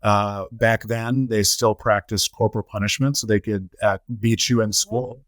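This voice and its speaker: Middle-aged guy, lowish voice, slow and thoughtful with lots of ums, dry close mic. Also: steady tone, a bit bright, no room sound. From United States. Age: 40s to 50s. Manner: measured, many filler "uh"s and "um"s. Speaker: podcast guest, nonprofit CEO. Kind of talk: reflective interview answers.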